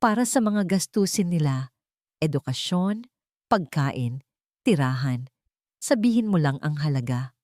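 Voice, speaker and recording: A woman's voice: low voice, expressive, unhurried, long pauses, dark tone, no echo, clean studio sound. A woman voicing an insistent, businesslike male CEO in a romance audio story, urgent yet controlled.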